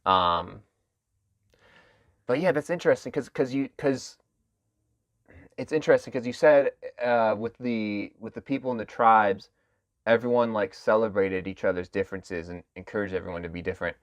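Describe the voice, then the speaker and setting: A man hosting a podcast who asks thoughtful questions in a halting way, full of fillers and restarts. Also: on the low side, no echo. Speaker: podcast host. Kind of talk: interview conversation.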